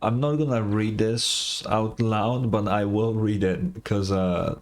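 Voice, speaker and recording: A man's voice: on the low side, steady tone, takes it slowly. A man giving casual reaction commentary as a gaming streamer, informal, wry and sweary.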